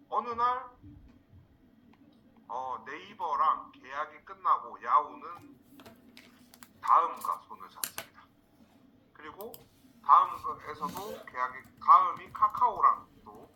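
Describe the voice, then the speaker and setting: A man from South Korea teaching a live online class in an informal, halting way, repeating words for emphasis. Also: mid-range voice, sharp tone, a little hiss or hum, rough recording. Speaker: instructor, marketing trainer. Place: indoors, online screen-share session.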